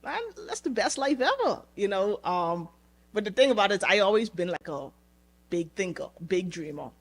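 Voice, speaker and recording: A woman's voice: medium pitch, big pitch swings, moderate pace. A woman from the Bahamas with a Bahamian accent, talking casually as an interview guest and often checking in with "you know" and "right?". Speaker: podcast guest, entrepreneur.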